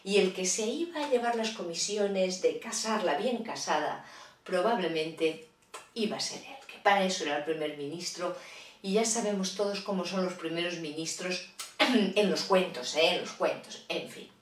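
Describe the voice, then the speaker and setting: A woman's voice: fairly low pitch, normal speed, reverberant, far from the mic. Playful woman telling a fairy tale. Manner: playful, chatty asides to listeners. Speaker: storyteller. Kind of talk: children's storytelling.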